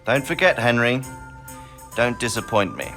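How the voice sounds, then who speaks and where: Measured, expressive medieval nobleman. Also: mid-range voice, soft background music. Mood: wry, firm. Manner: authoritative, eloquent, wry. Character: measured, fair-minded middle-aged medieval nobleman. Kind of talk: video game cutscene acting.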